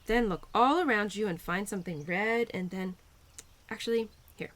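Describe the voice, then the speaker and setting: A woman voicing a cheerful, playful young spirit of Spring in an audio roleplay, gentle and affectionate with a giggly, easily flustered manner. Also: mid-range voice, normal speed, lightly acted. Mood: caring, encouraging. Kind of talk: audio roleplay addressed to the listener.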